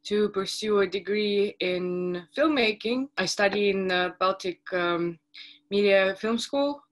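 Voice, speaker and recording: A woman from Ukraine talking about her studies in a clear, composed voice. Her pitch sits in the middle range, and she speaks steadily. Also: unhurried. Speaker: film student. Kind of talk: casual interview answers.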